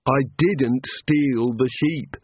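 A man's voice: mid-range voice, takes it slowly, phone-quality sound, a little hiss or hum, voice pushed up, natural voice. A man voicing an anxious, exasperated male prisoner with a dry, sarcastic manner in a storybook reading. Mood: irritated.

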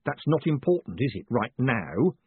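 A man acts out a confident storybook rogue who gives orders lightly. He is smooth-talking and assured, with a slightly husky edge. The audio is thin and phone-like. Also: mid-range voice, sing-song, moderate pace, no room sound. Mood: firm. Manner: breezy, assured. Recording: narrow phone-like sound.

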